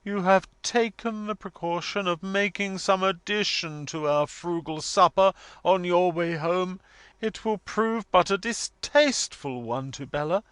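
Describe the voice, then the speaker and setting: A man's voice: high voice, unhurried, fairly bright tone, clean tone, no room sound, voice pushed up. A man voicing a pompous, gloomy middle-aged mother in a storybook, declaiming with solemn, stately majesty. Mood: solemn reproach.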